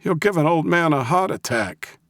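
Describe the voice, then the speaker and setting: A man playing a crabby old man, pitched up. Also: lively intonation, moderate pace, no echo, studio quality, close to an everyday voice. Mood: angry, blustering. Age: older. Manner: crabby, blustering, protesting. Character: crabby, tired old man, gruff and defensive.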